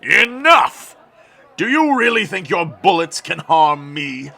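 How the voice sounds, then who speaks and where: A menacing, proud sea warrior prince in an audio drama. He speaks in grand, formal threats with a forceful, sharp voice and dramatic rises and falls. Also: high voice, big pitch swings, takes it slowly, vocal fry, full character voice. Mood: imperious anger. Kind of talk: cinematic audio drama acting.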